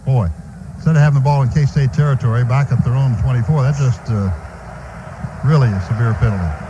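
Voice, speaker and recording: A man's voice: medium pitch, brisk, dull audio. American football play-by-play man, noisy stadium broadcast. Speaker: play-by-play radio announcer. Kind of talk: live American football radio commentary. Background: loud stadium ambience.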